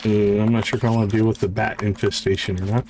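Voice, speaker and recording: A man hosting a game livestream with casual, unhurried commentary, thinking aloud as he plays. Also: on the low side, level tone, darkish tone. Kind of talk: casual live gameplay commentary. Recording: faint background noise with clicks.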